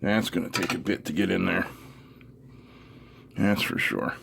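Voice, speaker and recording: Middle-aged man, lowish voice, casual workbench narration, muttering as he works, noisy recording with clicks. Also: unhurried, gravelly. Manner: unscripted, muttering to himself while working. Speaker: host of an electronics teardown video.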